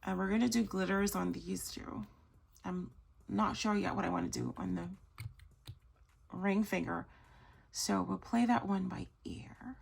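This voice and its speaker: A woman hosting a nail art tutorial, chatty and gushing, slightly hoarse as she loses her voice. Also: medium pitch, steady tone, a little slow, long pauses. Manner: chatty, gushing, self-correcting. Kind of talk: casual tutorial chat.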